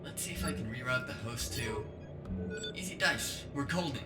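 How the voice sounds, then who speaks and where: A jittery, quick-thinking hacker guide from a cyberpunk audio drama, played by a man. His voice jumps around a lot in pitch, nervous and excitable. Music plays clearly behind him. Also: close to an everyday voice. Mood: relieved, focused.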